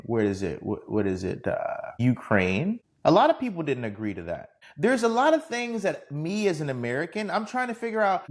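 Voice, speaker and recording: A forty-year-old man hosts his own podcast, pitching rhetorical questions straight at his listeners. His voice sits high for a man and swings widely up and down as he presses each point. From Texas. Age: 40s. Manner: emphatic, rhetorical, addressing the audience directly. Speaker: podcast host. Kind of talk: solo podcast monologue.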